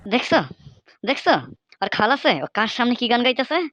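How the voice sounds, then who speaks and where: A woman's voice: fairly high pitch, expressive, normal speed, fairly bright tone, no room sound, lo-fi sound. A woman voicing a hot-tempered, threatening village mother in a comic cartoon, scolding and blustering with a Bangladeshi accent. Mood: furious.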